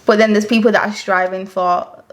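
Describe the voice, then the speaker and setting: A woman's voice: mid-range voice, flat delivery, moderate pace. A woman in a group discussion, assertive and repeating phrases for emphasis. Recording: faint background noise.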